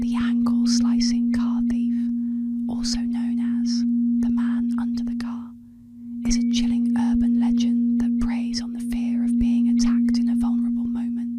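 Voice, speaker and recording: A woman narrating a scripted tale as ASMR in a soft, breathy whisper, gentle and measured, close to the microphone. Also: fairly high pitch, heavy background noise. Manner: gentle, hushed, measured storytelling. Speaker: ASMR host and storyteller.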